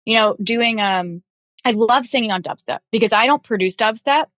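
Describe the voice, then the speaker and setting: A woman's voice: a little high, normal speed, fairly bright tone, dry recording. Candid chatty woman, dry sound. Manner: candid, chatty, many fillers and restarts. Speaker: guest composer and vocalist. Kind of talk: casual podcast conversation.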